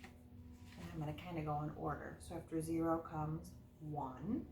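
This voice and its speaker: A woman's voice: sits low, steady tone, a little slow, dark tone. A woman teaching young children, explaining things simply, asking the listener questions and reading aloud. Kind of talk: early-grade lesson and read-aloud to children. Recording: roomy, distant mic, faint noise.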